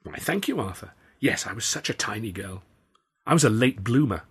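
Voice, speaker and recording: A man's voice: fairly low pitch, lively intonation, moderate pace, husky, full character voice. A man voicing a flirtatious, knowing married woman in an audiobook, coy and teasing.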